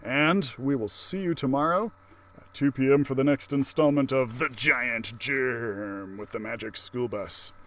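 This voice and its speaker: A man reading aloud to children as a library story-time host, expressive, with casual asides. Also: mid-range voice, unhurried.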